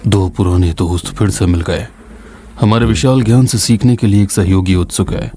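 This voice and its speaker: An actor playing a wise, weary old scholar in a fantasy game dub, husky, solemn and reflective. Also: male voice, lowish voice, normal speed, dark tone, a little hiss or hum, close to an everyday voice. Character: wise, weary old male scholar mentoring a younger ally. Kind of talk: dramatic fantasy game narration.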